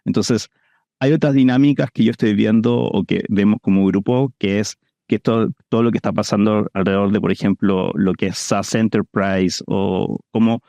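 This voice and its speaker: A man's voice: on the low side, steady tone, moderate pace, vocal fry, dark tone, dry recording. A man with a Chilean accent giving conversational answers as an investor guest in an interview.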